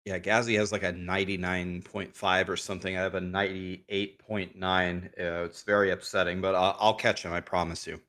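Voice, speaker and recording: A man who is a guest on a podcast, talking casually and frankly while his voice is going hoarse. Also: deep voice, little pitch movement, normal speed, few pauses, bright, forceful tone. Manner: frank, thinks aloud with restarts. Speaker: guest streamer.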